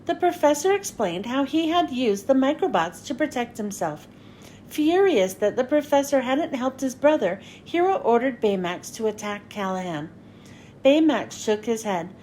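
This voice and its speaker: High-pitched, very animated woman storyteller. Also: moderate pace, a little hiss or hum. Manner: expressive, dramatic with character lines. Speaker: children's book reader. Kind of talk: animated read-aloud storytelling for kids.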